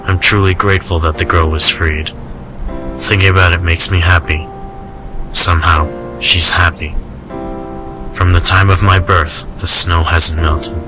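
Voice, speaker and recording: A man playing a reserved, melancholy man in a radio drama, speaking in a subdued, measured way. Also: sits low, little pitch movement, low-quality recording. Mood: wistful. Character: reserved, melancholy man musing on lost love. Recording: music behind the voice.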